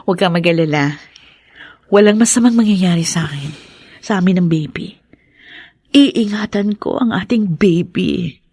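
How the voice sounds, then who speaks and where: A woman's voice: lowish voice, moderate pace, long pauses, darkish tone, slightly rough, no room sound. An actress playing a pregnant young wife in a radio drama, earnest and emotional in her delivery. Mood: apologetic, reassuring. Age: young adult. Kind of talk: Tagalog radio drama acting.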